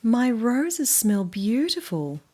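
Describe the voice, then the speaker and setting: A woman with an Australian accent voicing a friendly, proud young male storybook character, speaking slowly and deliberately for a children's read-aloud. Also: a little high, big pitch swings, warm tone, exaggerated voice. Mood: proud, happy.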